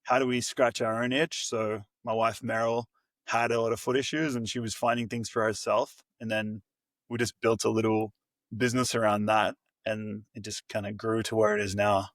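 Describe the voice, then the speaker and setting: A man's voice: lowish voice, little pitch movement, normal speed, a bit bright, no room sound. Friendly Australian man, dry close sound. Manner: friendly and conversational, says "mate". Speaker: podcast guest, business co-founder. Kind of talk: casual interview chat.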